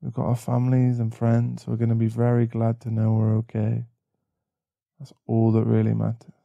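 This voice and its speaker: A man playing a caring, protective boyfriend in an intimate ASMR roleplay, speaking softly and soothingly with halting pauses. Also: mid-range voice, completely flat delivery, vocal fry, no echo, muffled recording, lightly acted. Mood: reassuring, loving. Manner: soft, soothing, halting, sighing. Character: caring, protective, shaken boyfriend comforting a partner. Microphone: close mic.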